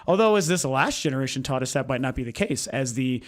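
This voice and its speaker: A hoarse young man from the United States who is losing his voice, hosting a casual, rambling video game vlog with lots of ums and uhs. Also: mid-range voice, animated, quick pace. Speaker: video game vlogger, channel host. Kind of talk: casual opinionated vlog talk. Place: home recording setup.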